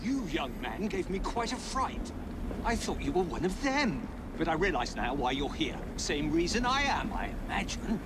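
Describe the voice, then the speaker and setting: An actor playing a fussy, genteel older Victorian gentleman in a game, with a British accent and formal, easily indignant manner. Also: a little high, big pitch swings, normal speed, very noisy. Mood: confiding. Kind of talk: video game cutscene acting.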